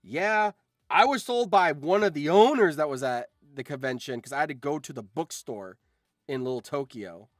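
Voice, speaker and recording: A man's voice: a little high, animated, normal speed, dry recording, clean studio sound. A man chatting casually on a livestream, telling anecdotes in an informal, sweary, enthusiastic fan's way. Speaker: streamer and voice actor.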